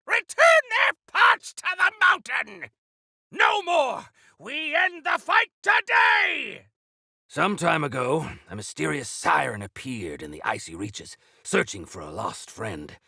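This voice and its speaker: An actor playing a proud, stalwart older leader of mountain folk in a video game, with a rough gravelly voice and theatrical, old-fashioned formal phrasing. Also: male voice, very high-pitched, big pitch swings, slow pace, pushed and bright, dry recording, shouting, clean studio sound, exaggerated voice. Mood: fierce, rallying. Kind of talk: video game character acting.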